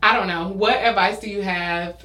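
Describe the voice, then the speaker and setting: A woman's voice: medium pitch, steady tone, moderate pace, sharp tone. A woman in her twenties hosting a casual podcast conversation in an informal, supportive way. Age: 20s. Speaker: podcast host. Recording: echoey room sound.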